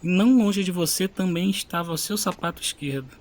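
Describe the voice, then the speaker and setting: A stern but loving father voiced by a man lectures in a fairly high, firm voice. His gentler moments turn quiet and soft. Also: a little fast, a little hiss or hum, close to an everyday voice. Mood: scolding. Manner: scolding, then softly soothing.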